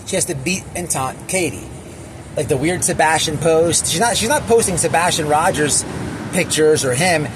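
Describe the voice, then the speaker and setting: A man giving a casual, opinionated reaction rant as an online commentator, emphatic and repetitive, with halting phrasing and crude slang. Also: a little high, background noise.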